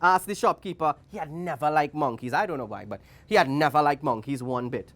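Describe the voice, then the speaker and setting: A woman's voice: deep voice, fairly quick. A woman with a Trinidadian accent reading a storybook aloud to children, theatrical and playful, with chatty asides. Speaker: guest story reader for children.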